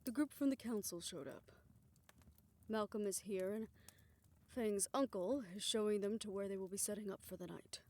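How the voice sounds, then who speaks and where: A woman playing a composed aunt in a fantasy audio drama, speaking in a measured, deliberate way. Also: medium pitch, warm tone, natural voice. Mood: matter-of-fact. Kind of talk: audio roleplay drama acting.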